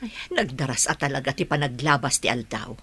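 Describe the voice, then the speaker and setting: A woman's voice: a bass voice, expressive, fairly quick, sharp tone, breathy edge, exaggerated voice. A woman playing a concerned middle-aged mother in a radio drama, coaxing and earnest. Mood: conversational. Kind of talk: Ilocano radio drama acting.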